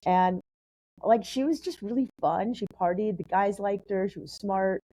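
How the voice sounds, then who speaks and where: A chatty woman from San Diego tells stories as a podcast guest. Her voice is warm and mellow at a middle pitch, and it rambles along full of casual fillers. The recording is dry and close, with no room sound. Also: normal speed, no room sound, poor audio. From San Diego, California. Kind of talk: casual conversational storytelling.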